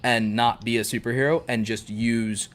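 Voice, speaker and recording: A man's voice: medium pitch, steady tone, normal speed. A man chatting casually as a podcast co-host, riffing playfully and repeating himself for emphasis, with some swearing. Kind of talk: casual chat about fictional universes.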